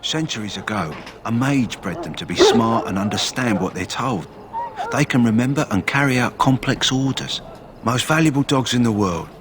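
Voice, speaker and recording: Actor as earnest, expressive kennel master. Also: male voice, mid-range voice, moderate pace, crowd noise, lightly acted. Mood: explaining, earnest. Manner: plain-spoken, concerned. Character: earnest, kindly male kennel master worried for his hounds. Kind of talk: video game dialogue acting. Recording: game music and dog sounds behind.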